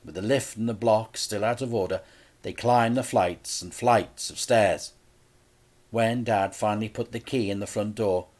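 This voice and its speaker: A man reading a children's story aloud in a deliberate, dramatic way with suspenseful pauses. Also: on the low side, darkish tone.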